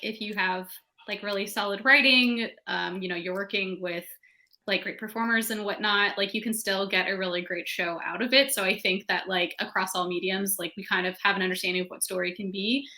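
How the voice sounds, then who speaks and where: Bright-voiced woman on a creators' panel. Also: mid-range voice, brisk. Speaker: panelist, audio fiction creator. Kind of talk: conversational panel talk.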